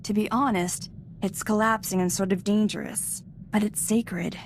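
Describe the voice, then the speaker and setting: Young female Padawan for a game, played by a woman: modest, earnest, slightly hesitant, average pitch, light background noise. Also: fairly even intonation, normal speed, close to an everyday voice. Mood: thoughtful, earnest. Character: eager, modest young female Jedi apprentice. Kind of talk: scripted video game voice acting.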